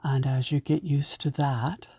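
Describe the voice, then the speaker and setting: A woman leading a guided meditation in a gentle, soothing way, giving unhurried instructions. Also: rumbling low, steady tone, slightly rough, no echo.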